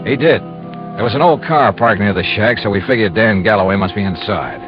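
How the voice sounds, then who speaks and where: A man's voice: lowish voice, lively intonation, a little fast, over music, lightly acted. An American man playing a wry, hard-boiled insurance investigator and narrator in classic radio detective drama, with dry wisecracks. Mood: brisk narration. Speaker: lead actor and first-person narrator. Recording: muffled, noisy vintage radio recording.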